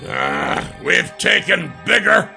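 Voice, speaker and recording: A man's voice: sits high, wildly swooping pitch, takes it slowly, vocal fry, over music, voice pushed up, full character voice. A man voicing a hot-headed, hard-boiled dwarf warrior in a storybook, blunt and combative, growling and bellowing. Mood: boastful.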